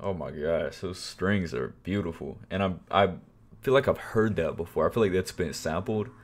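A young man in his twenties hosting a music reaction video, talking casually and softly at night, excited about the song. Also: deep voice, normal speed. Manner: enthusiastic, softly spoken at night. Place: small bedroom, indoors. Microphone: wired earbuds mic, webcam framing. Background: song playing faintly.

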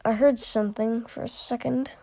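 A woman voicing amateur character dialogue for an animated story. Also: a little high, moderate pace, vocal fry. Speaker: creator voicing all characters of an animated series.

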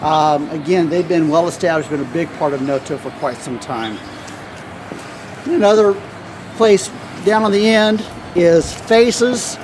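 A man in his fifties giving an informal walking tour outdoors, speaking in halting phrases with trailing lists. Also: on the high side, expressive. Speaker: arts center director giving a walking tour. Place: outdoors on a small downtown street, walking. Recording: outdoor street noise with passing cars.

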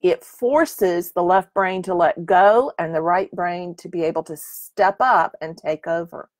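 A woman's voice: fairly low pitch, a little slow, no room sound. A woman, teaching painting on a live stream and answering viewers' questions by name in a chatty, encouraging way. Her voice is a little worn, as if she is losing it. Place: small room with curtained window, indoors.

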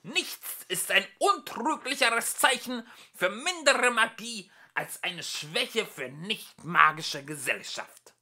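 A man's voice: sits high, expressive, slow pace, bright, forceful tone, pitched above the performer's own voice, full character voice. A man voicing a pompous, hateful wizard pamphleteer of bygone times, declaiming with scornful emphasis in an audiobook. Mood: scornful, haughty.

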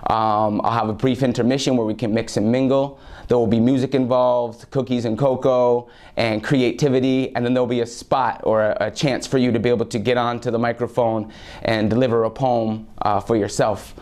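A young man speaks to a school audience in an unhurried, soft-spoken way, leaning on ums and uhs between phrases. He is close on a lapel mic in a small studio. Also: medium pitch, flat delivery. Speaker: poet promoting an event. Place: small studio with a curtain backdrop.

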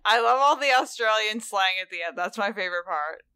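A woman's voice: medium pitch, animated, a little fast, bright, forceful tone, clean tone, dry recording. Brief: female podcast co-host, gushing and upbeat, dry close mic. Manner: enthusiastic, gushing. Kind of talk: casual chat.

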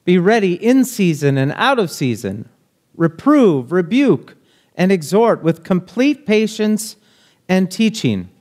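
A man in his thirties preaches from the pulpit of a church sanctuary, his voice sitting a little high for a man. He reads scripture aloud in measured phrases, then turns to plain, conversational explanation, recorded through a pulpit microphone. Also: sing-song, a little mellow. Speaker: pastor. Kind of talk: sermon. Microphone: pulpit microphone, filmed by a far camera.

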